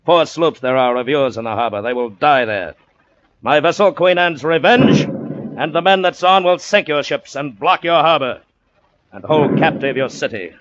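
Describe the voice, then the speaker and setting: An actor playing a swaggering, menacing yet lovestruck pirate captain in old-time radio drama, with theatrical, archaic, grandiose phrasing. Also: male voice, a little high, normal speed, fairly bright tone, close to an everyday voice. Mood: threatening, boastful. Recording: dull, muffled old radio recording.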